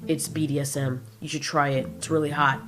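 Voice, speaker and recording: A woman's voice: low-pitched, flat delivery, brisk, pitched below the performer's own voice, lightly acted. A woman voicing a crude, grumpy male giant monster in a comic audio drama, blunt, taunting and sarcastic. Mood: spiteful, taunting.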